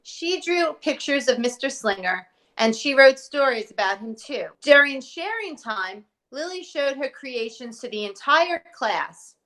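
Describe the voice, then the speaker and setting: Bright, higher-pitched woman reading to children. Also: unhurried, few pauses. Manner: expressive, addressing children directly. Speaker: teacher reading aloud to pupils. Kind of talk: children's storybook read-aloud.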